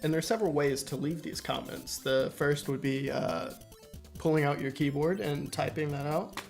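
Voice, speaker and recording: A man co-hosting a casual podcast, joking in a deadpan way. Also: a little high, moderate pace, vocal fry, faint music behind. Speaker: podcast co-host.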